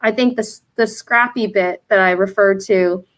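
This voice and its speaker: A woman from the United States whose first language was Vietnamese, a brand founder answering interview questions in an earnest, reflective way with soft fillers. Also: medium pitch, fairly even intonation, moderate pace.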